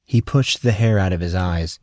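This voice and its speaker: A man's voice: sits low, moderate pace, darkish tone, no room sound, clean studio sound. A man narrating scripted fiction as an audiobook storyteller.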